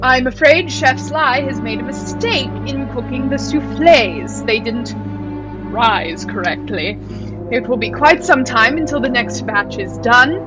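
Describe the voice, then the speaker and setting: A woman playing a haughty, falsely gracious wealthy hostess in a radio play, with affected, drawn-out politeness. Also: high voice, animated, rough recording. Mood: gushing, apologetic. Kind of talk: theatrical radio play acting. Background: prominent music.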